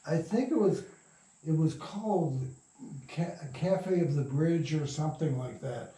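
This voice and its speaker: An older man with a mellow, soft-edged voice reminisces as an interview guest. He speaks haltingly, repeating words as he searches his memory. He sounds set back from the microphone in an echoey room, with faint noise. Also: fairly high pitch. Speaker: interview guest, radio drama producer. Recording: roomy, distant mic, faint noise.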